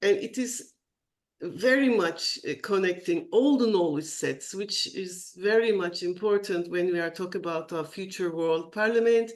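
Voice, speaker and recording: A woman co-hosting a spiritual podcast on a video call, speaking haltingly as she searches for her words. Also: fairly low pitch. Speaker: co-host. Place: at a home computer.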